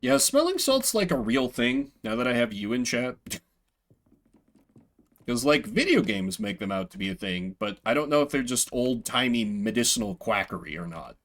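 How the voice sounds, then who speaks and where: A man's voice: mid-range voice, sing-song, moderate pace, fairly bright tone. A man doing live game commentary in a playful, sweary way, reading bits of text aloud and chatting with viewers. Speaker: game streamer. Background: video game music.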